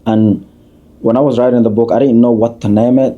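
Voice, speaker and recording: A man, a community leader answering questions as a podcast guest in an unhurried, halting way. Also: mid-range voice, flat delivery, dark tone, highs cut off. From Somalia, raised in Kenya. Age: 30s. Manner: unhurried, halting, with many uh fillers and restarts.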